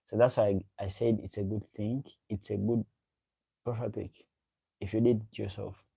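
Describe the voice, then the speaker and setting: A man's voice: fairly low pitch, little pitch movement, normal speed, creaky, dark tone, slightly rough, poor audio. A man hosting a livestream, chatting casually with viewers and reading out their comments, repeating himself as he goes. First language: a local African language. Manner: rambling, repeats himself, chats directly to viewers. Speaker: livestream host.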